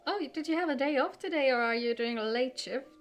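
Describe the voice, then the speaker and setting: Female game streamer, higher-pitched voice, chatty and informal, music underneath. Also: fast talker. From Finland. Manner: chatty, greets viewers by name. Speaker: game livestreamer. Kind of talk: casual livestream chat with viewers.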